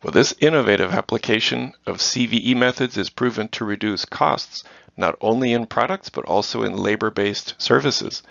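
A middle-aged man from the Netherlands, a guest giving measured, prepared answers in a corporate interview. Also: medium pitch, vocal fry, fairly bright tone, no room sound. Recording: dull lo-fi dry sound.

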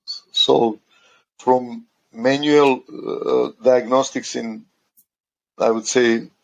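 A middle-aged man from Croatia with a heavily gravelly, creaky voice. He speaks at a middle pitch and answers interview questions haltingly, pausing often as he searches for words. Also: fairly even intonation, poor audio. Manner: halting, searching for words in non-native English. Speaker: guest, asset condition manager. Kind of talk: conversational podcast interview.

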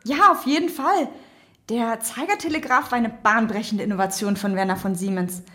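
A woman acts as an excitable young female time traveler in an audio drama. Her voice is very high, and she sounds curious and wide-eyed. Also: normal speed. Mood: explanatory.

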